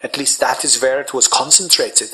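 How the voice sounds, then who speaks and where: An actor playing a cool, analytical doctor who explains things in a precise, clinical way. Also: male voice, mid-range voice, fairly even intonation, moderate pace, sharp tone, natural voice. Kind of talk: radio drama acting.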